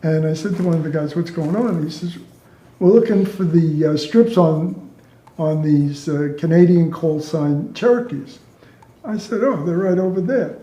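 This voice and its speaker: A man's voice: on the high side, moderate pace, mellow. An older man, a retired air traffic controller, casually telling amused anecdotes and acting out bits of dialogue. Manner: amused, chuckles through own stories, reenacts dialogue. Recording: roomy, echoey sound.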